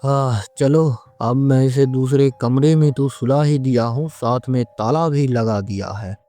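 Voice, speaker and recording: A man voicing a worried, excitable village politician in an animated horror story, exclaiming and pleading colloquially. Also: mid-range voice, measured pace, faint music behind, natural voice. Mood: uneasy relief. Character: worried village politician protecting his servant, excitable male.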